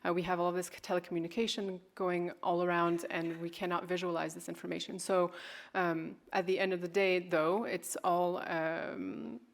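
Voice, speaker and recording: A woman in her thirties gives a stage talk about her own work as a designer. Her voice sits low and stays fairly level, and she speaks in an unhurried, searching way, full of pauses, ums and restarted phrases. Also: little pitch movement. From grew up in California. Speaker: artist and fashion designer presenting her work.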